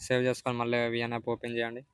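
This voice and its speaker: A man's voice: mid-range voice, completely flat delivery, rapid-fire, a bit bright, smooth, no echo, clean studio sound. A man with a Telugu Indian accent giving a step-by-step app tutorial voice-over as the host. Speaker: video editing tutorial host.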